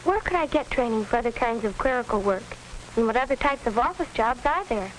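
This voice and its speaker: A polite, earnest high school girl played by a young actress speaks in a high, proper voice. The delivery is neat and scripted in the manner of 1940s educational films. The soundtrack is old, scratchy and clearly noisy. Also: animated, brisk, lightly acted. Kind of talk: 1940s educational film acting.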